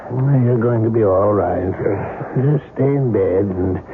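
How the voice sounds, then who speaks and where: A man acting a matter-of-fact, paternal family doctor who gently scolds, in a 1970s radio drama. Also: on the low side, a little slow. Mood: gently scolding. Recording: thin, phone-like, noisy old radio recording.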